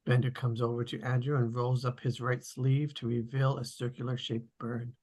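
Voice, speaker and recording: A man with a gravelly, husky voice reads stage directions as narrator of a script table read. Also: medium pitch, little pitch movement, moderate pace, darkish tone.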